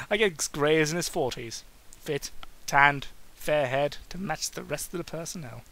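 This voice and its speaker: A man's voice: on the high side, sharp tone, poor audio. A man giving a mocking, theatrical dramatic reading of a book, often tripping over his words and tossing in asides.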